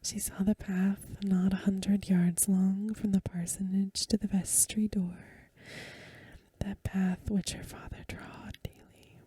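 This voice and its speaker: A woman reads a story in a hushed ASMR style. Her voice is whispery and very airy, with a mellow, dark tone. The phrasing is gentle and measured, and the sound is dry and close. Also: medium pitch, level tone, dry recording. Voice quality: breathy, whispery soft voice. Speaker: ASMR reader. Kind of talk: soft-spoken ASMR storybook reading.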